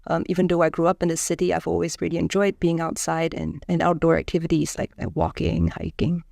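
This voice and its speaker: Gravelly-voiced woman researcher. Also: deep voice, a little mellow, no room sound, clean studio sound. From Singapore. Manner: measured, with frequent fillers and restarts. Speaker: engineering researcher. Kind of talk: explanatory interview talk.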